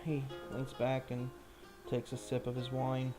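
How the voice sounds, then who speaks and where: A man's voice: mid-range voice, completely flat delivery, a little slow, music in the background. A man improvising narration as game master of a tabletop game, in a casual, meandering way.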